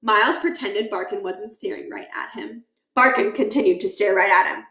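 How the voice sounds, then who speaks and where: A woman reading a children's book aloud as an expressive storyteller, with animated, deliberate emphasis. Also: mid-range voice. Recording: echoey, roomy sound.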